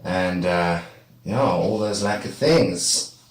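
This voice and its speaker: A man casually talking to his live-stream viewers while he draws. He rambles in a relaxed way and sounds a little congested from a cold. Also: low voice, slow pace. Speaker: art streamer. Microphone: streaming setup with a camera facing him. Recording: echoey room with faint background noise.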